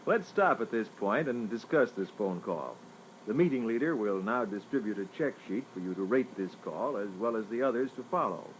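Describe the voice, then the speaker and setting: A man narrating an instructional training record in a formal, measured, scripted style. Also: lowish voice, expressive. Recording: noisy old reel-to-reel tape.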